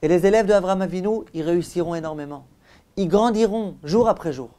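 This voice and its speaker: A man giving a Torah class as a rabbi, didactic and emphatic, asking rhetorical questions, repeating for emphasis and letting phrase endings drop softly. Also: high-pitched, quick pace. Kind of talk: Torah lecture.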